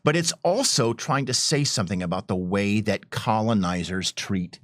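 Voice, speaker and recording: A man speaking as a game designer on a discussion panel, explaining things in an animated, professorial way. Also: lowish voice, moderate pace, no echo, studio quality.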